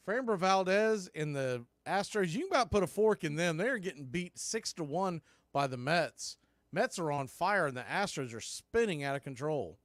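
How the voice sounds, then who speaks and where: A man's voice: fairly high pitch, fairly bright tone, no room sound, studio quality. A man calling baseball play-by-play, rising sharply on big plays. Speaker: play-by-play announcer. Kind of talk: radio play-by-play commentary.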